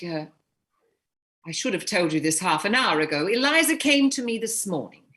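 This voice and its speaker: An actress playing a composed, commanding upper-class older mother, firm and measured in a staged play reading. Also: mid-range voice, sharp tone.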